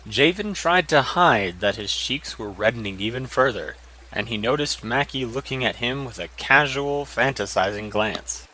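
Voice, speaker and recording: A man narrating a fantasy short story in an even, descriptive manner. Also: medium pitch, normal speed, rough recording.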